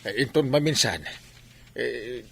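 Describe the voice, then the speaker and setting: A man's voice: mid-range voice, unhurried, gravelly, a bit bright, breathy edge, exaggerated voice. A man acting a mischievous, easily flustered village man in an Ilocano comedy radio drama, stammering and exclaiming with an Ilocano accent. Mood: bewildered.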